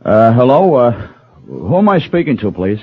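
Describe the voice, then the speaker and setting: A man's voice: mid-range voice, big pitch swings, normal speed, heavy background noise, close to an everyday voice. Man acting a hesitant husband, dull radio sound. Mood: hesitant, eager. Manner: hesitant, stammering. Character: wistful middle-class husband obsessed with a painting. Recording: dull lo-fi radio recording, music behind.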